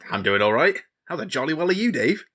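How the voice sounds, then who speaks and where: A man's voice: a little high, very animated, fast, a bit bright. A man acting a lonely, childlike, overexcited friend who loves Christmas, in a theatrical, gushing comedic audio play style. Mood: chirpy.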